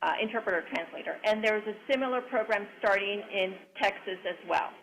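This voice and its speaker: A woman whose first language is Spanish, a clinical psychologist answering a panel question over a remote link in a measured, deliberate way. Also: medium pitch, fairly bright tone, a little hiss or hum, low-quality recording.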